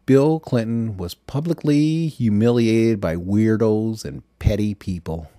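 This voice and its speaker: A man playing a bitter, self-pitying middle-aged FBI agent who dictates confessions in a sardonic, deliberate way, in an audiobook. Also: fairly low pitch, mellow, no echo, studio quality, full character voice. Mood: disgusted. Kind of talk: dramatized audiobook monologue.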